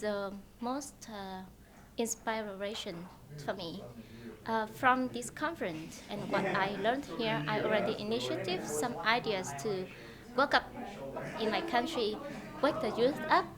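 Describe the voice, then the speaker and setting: Halting young woman from Vietnam. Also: on the high side. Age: young adult. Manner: earnest, halting, with many "uh". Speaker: youth delegate. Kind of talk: short statement to camera.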